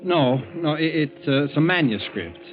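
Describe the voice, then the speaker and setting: Nervous, evasive husband, tinny old radio. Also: male voice, a little high, lively intonation, measured pace. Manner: hesitant, stammering. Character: nervous, evasive scheming husband on an ocean liner. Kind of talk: 1940s radio acting. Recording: vintage 1940s radio, narrow band, faint music.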